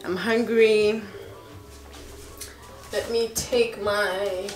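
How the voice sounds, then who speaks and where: A woman in her twenties chatting casually in her kitchen for a home vlog, chatty and excitable with frequent laughs. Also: medium pitch, drawn-out words, soft background music. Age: 20s. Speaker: vlogger.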